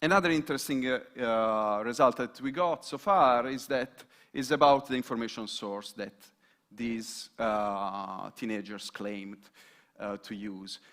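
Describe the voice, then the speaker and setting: Slow, halting man presenting research. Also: medium pitch, a bit bright. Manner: hesitant, many fillers and restarts. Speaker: student presenter.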